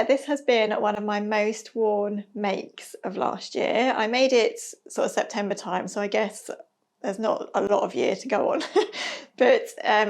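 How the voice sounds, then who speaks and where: A woman chatting casually about her sewing projects, a little hoarse from losing her voice, with a self-deprecating manner and frequent ums. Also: mid-range voice. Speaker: sewing vlogger.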